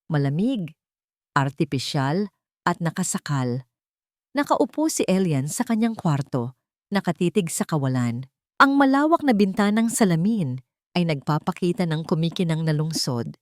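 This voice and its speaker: A woman's voice: fairly low pitch, sing-song, normal speed, no room sound, clean studio sound. A woman with a Filipino accent reading a scripted audiobook story in a dramatic narrating style.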